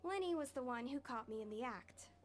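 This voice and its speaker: A woman's voice: high-pitched, lively intonation, a little fast, loud music behind the voice. An actress playing a nervous, evasive young woman thief confessing in an anime game. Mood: sheepish explaining. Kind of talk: anime video game acting.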